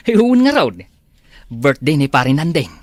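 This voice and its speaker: A man's voice: a little high, very animated, unhurried, no echo, clean studio sound. A man acting a henpecked, whining village husband in an Ilocano comedy drama, with an Ilocano accent. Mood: pleading.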